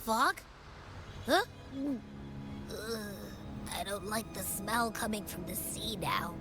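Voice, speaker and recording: A woman's voice: medium pitch, expressive, takes it slowly, music in the background. A woman voicing a small, boastful talking creature companion in a video game, pert and cartoonish. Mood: uneasy, grumbling.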